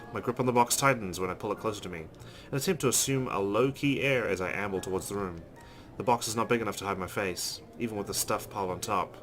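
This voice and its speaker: A man's voice: lowish voice, a bit bright. A man hosting a let's play, reading visual novel narration aloud. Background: game music.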